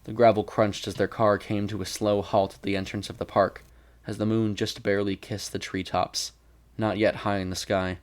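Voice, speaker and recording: A man's voice: fairly low pitch, flat delivery, moderate pace. A man reading scripted narration for a mystery short story, as its narrator. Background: background music.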